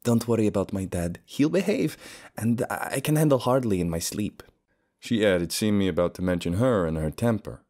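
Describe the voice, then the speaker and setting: A man's voice: on the low side, lively intonation, normal speed, darkish tone. A man reading fiction in audiobook style, acting out the dialogue as he narrates. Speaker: voice actor, narrator.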